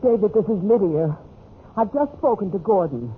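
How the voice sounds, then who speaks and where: A scratchy, thin old radio recording carries an actress as a frightened, pleading woman. Her delivery is urgent and melodramatic, with big swings in pitch, in the style of a golden age mystery play. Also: sing-song, moderate pace, phone-quality sound, natural voice. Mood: urgent warning. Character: frantic, pleading woman, former lover fearing murder.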